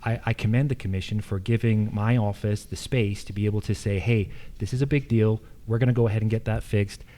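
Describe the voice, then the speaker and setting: A man's voice: on the low side, steady tone, a little fast, few pauses, mellow. An American man giving unscripted answers as a candidate at a public forum. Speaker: political candidate, incumbent county official.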